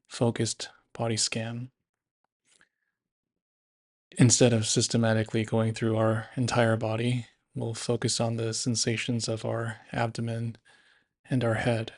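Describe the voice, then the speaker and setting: Guy doing a body scan meditation, medium pitch, gentle instructions, takes his time. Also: completely flat delivery, unhurried. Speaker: meditation guide.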